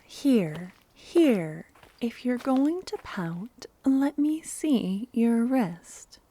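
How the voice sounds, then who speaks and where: A woman playing a loving, obsessive cultist kidnapper in a soft-spoken ASMR roleplay, sweetly menacing, breathy and often whispering. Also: on the high side, no echo, full character voice. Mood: coaxing, chiding. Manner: slow, tender, intimate coaxing. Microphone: close ASMR microphone.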